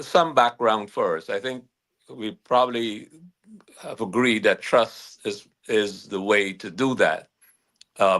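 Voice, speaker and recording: A man from Guyana who is a guest attorney, explaining legal matters in measured, halting answers with many restarts. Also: fairly low pitch, bright, forceful tone.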